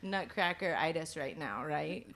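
A young adult woman co-hosting a podcast, giggling often and chiming in with quick remarks. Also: low voice, expressive, crowd noise. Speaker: podcast co-host. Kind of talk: casual chat.

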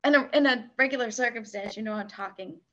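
A woman with a very soft voice, a co-host in casual chat over a video call. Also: a little high, fast, highs cut off.

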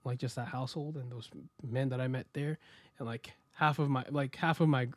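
A young American man telling his story as a podcast guest, relaxed and rambling with many fillers and restarts. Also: medium pitch, fairly quick, dry recording. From United States. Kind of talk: casual interview chat.

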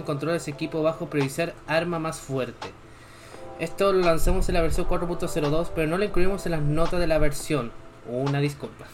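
A young man from Chile, a streamer reading game patch notes aloud and chatting casually with viewers between points. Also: a little high. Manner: casual, chatty asides between read-out items.

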